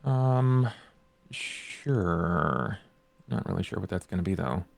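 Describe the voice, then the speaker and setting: A man's voice: low voice, animated, takes it slowly, vocal fry, no echo. A man playing a tabletop game, talking casually and somewhat tentatively. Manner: hesitant, tentative.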